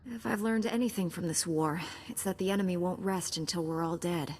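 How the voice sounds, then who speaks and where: A woman's voice: lowish voice, fairly quick, a little mellow, close to an everyday voice. An actress playing a resolute, dutiful young female Jedi knight in a video game, speaking earnestly, directly and with confidence. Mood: determined. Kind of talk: scripted video game dialogue. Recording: long hall reverb, faint music behind.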